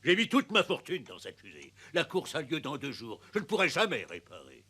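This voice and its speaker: A man's voice: fairly high pitch, wildly swooping pitch, fairly quick, pushed and bright, slightly rough, full character voice. A man voicing a greedy, self-pitying, blustering villain in a cartoon, with theatrical delivery. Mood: lamenting. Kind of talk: cartoon voice acting.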